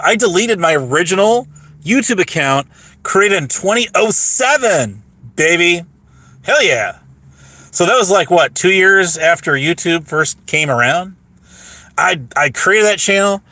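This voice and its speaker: Hoarse, bright-voiced boastful man. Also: on the high side, dry recording. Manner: boastful, repeats himself, laughs mid-sentence. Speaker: livestreamer. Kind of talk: rambling casual livestream talk.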